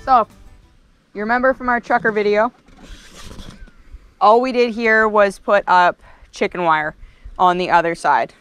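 A woman's voice: medium pitch, a little slow, long pauses, a bit bright, soft background music. A woman in her thirties from Canada, explaining things casually to camera as a homesteader. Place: farm coop and yard.

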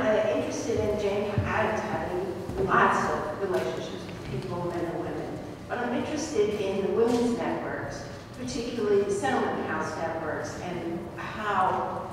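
A woman's voice: medium pitch, unhurried. An older woman in the audience asking a question, hesitant, with fillers. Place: large echoey hall. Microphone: handheld mic. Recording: echoey hall, distant, noisy.

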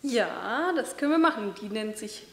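A young woman, a voice training student, explaining and demonstrating breathing and voice exercises in a large bright hall, pausing now and then with fillers. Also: a little high, lively intonation. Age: young adult. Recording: echoey hall.

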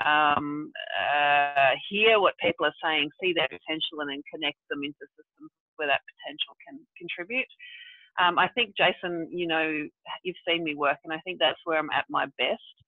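A woman's voice: sits low, fairly even intonation, normal speed, no echo. A woman who grew up in Brazil and South Africa, thinking aloud as a guest on a video call, with many fillers. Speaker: guest, regenerative development practitioner. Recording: tinny phone-like dry audio.

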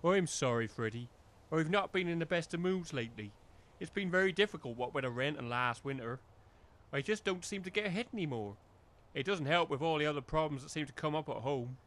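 A man's voice: fairly high pitch, expressive, a little fast, fairly bright tone. A man playing a weary, wary village working man who grumbles in rustic dialect, in a comedy audio drama. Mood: downcast.

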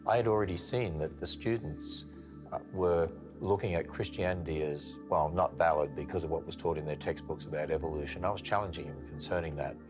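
A deep-voiced older man from Australia reminisces in a plainspoken, reflective way. Clear music plays under his words, as in a documentary segment. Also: fairly quick. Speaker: ministry founder. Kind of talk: recollection in a documentary video.

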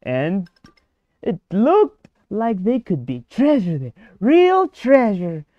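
A man's voice: sits high, huge pitch swings, slow pace, dry recording, dull audio, full character voice. A man doing a chatty little girl. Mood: excited.

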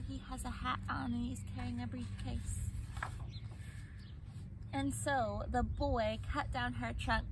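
Woman doing storytime outside, high lively voice, lots of background noise. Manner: expressive, pausing to comment on pictures. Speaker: preschool teacher. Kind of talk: storytime read-aloud for young children. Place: outdoors under a tree in a park. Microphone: camera a few metres away. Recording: noisy outdoor recording, ambient sounds.

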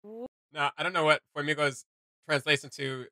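Young man chatting, bright and dry. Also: on the high side, normal speed, no room sound. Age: young adult. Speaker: reaction video host. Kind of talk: casual reaction chat. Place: small room at home, indoors.

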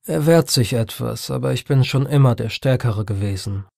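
A man narrates a horror audiobook in the first person. His voice sits at a middle pitch and follows a prepared script. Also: normal speed, gravelly, darkish tone.

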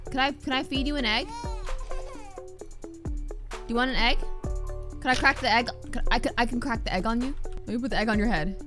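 A young woman with a high, bright voice commentates on a video game. She is animated and reactive, swinging widely in pitch as she talks to the game, with music behind her. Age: young adult. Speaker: gaming streamer. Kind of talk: casual playthrough commentary with reading aloud.

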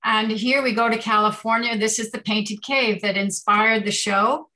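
A woman's voice: a little high, steady tone, normal speed, pushed and bright. A woman artist giving informal commentary on art slides over a video call.

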